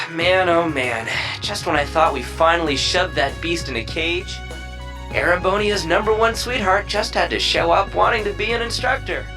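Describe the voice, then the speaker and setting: A cocky young male schemer from a game cutscene, drawling with irreverent sarcasm over loud music. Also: high voice, normal speed. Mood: exasperated. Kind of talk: English video game dub acting.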